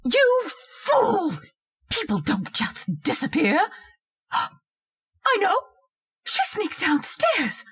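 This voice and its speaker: A woman's voice: very high-pitched, huge pitch swings, very slow, long pauses, fairly bright tone, heavily acted. A woman playing a bossy, scolding, histrionic wife haunted by an intruder in an old-time radio horror drama. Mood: frantic. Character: shrill domineering wife, frightened by a ghostly intruder.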